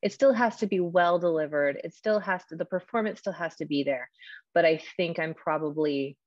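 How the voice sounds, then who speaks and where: A woman's voice: lowish voice, moderate pace, a little mellow, no echo, dull audio. A woman talking casually in an interview about casting audiobook narrators, thinking aloud with fillers.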